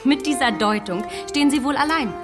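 A woman's voice: high voice, fairly quick, in a large hall, over loud music, lightly acted. An actress playing a spirited young lady who argues with poise, in a radio drama. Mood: poised. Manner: poised, argumentative.

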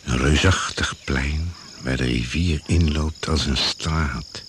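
A solemn radio drama narrator with an extremely low voice. He delivers short descriptive phrases very slowly and with measured gravity. The old recording has clear noise behind him. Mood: grave, atmospheric. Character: grave, slow male narrator of a jungle survival drama.

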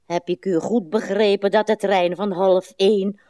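An actress playing a weary, morbid, rambling old woman in a radio play, with slow, plaintive delivery. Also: mid-range voice, steady tone, mellow, no echo, natural voice. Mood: reminiscing, rambling. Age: older.

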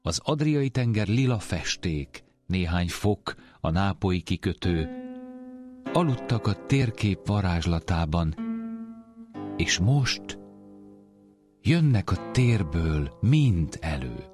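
A man's voice: sits low, sing-song. A man reciting a literary poem as a reader. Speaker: poetry reader. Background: soft plucked guitar music.

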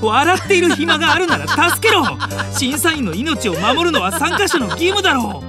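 A man's voice: very high voice, big pitch swings, moderate pace, music in the background. A man acting a serious, irritable older brother who flusters easily, scolding in stiff, stern speech in an anime-style audio drama. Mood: furious, panicked.

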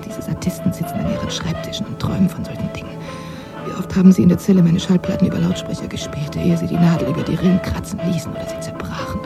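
An actress playing a frail, bitter older woman, once a prisoner, speaking wearily and haltingly in a German radio drama. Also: fairly low pitch, little pitch movement, vocal fry. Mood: bitter, weary. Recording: music behind the voice.

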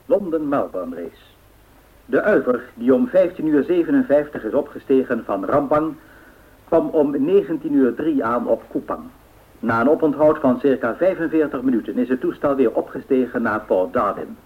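A man's voice: mid-range voice, animated, normal speed, close to an everyday voice. Formal male 1930s bulletin reader, acted by a man, mid-range voice, phone-like vintage radio band. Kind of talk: scripted news bulletin in a radio play. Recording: thin band-limited old radio sound.

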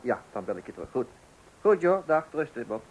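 A man's voice: medium pitch, very animated, normal speed, darkish tone, breathy edge, lo-fi sound. An actor in a radio play playing a flustered, weary father, hesitant, stammering and exasperated. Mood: harried. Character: flustered, weary father awaiting a birth at night.

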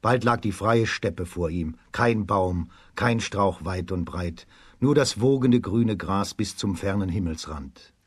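A man narrating a children's radio play as a storyteller, in a measured storybook delivery. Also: low-pitched, breathy edge, dry recording.

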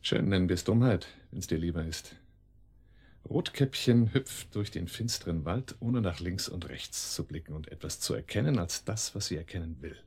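A cold crime boss with a low, slightly rough voice. He speaks deliberately and sardonically, in the commanding manner of a radio drama villain. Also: animated, darkish tone, full character voice. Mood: coldly menacing. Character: cold, menacing male crime boss, calmly cruel. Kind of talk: German radio drama acting.

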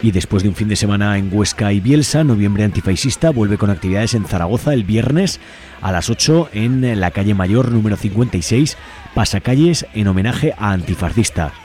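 A man reads local listings as a radio host, with music playing behind him. His voice is dark and relaxed, sitting on the low side. The delivery is informal and conversational. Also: moderate pace. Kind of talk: reading community event announcements on radio.

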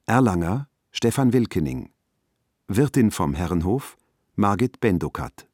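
A man's voice: on the low side, big pitch swings, a little slow, darkish tone, husky, no echo, clean studio sound, full character voice. A man announcing the title and credits of a radio play in a scripted style.

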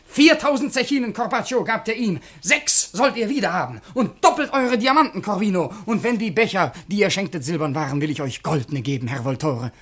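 A scheming servant who has come out on top, in a theatrical radio drama. The voice is high and swoops expressively. He declaims with silky mockery. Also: lively intonation, fairly quick, few pauses, a bit bright. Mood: smooth, persuasive. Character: sly, triumphant male servant turned heir, mocking schemer. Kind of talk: theatrical German radio play acting.

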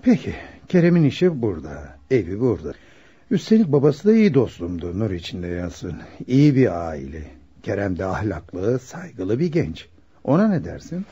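An actor playing a kindly middle-aged father in a Turkish radio play, genial and deliberate as he weighs his daughter's marriage. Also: lowish voice, wildly swooping pitch, mellow, husky, exaggerated voice. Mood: thoughtful, gentle. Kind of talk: Turkish radio theater acting. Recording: muffled lo-fi radio drama recording.

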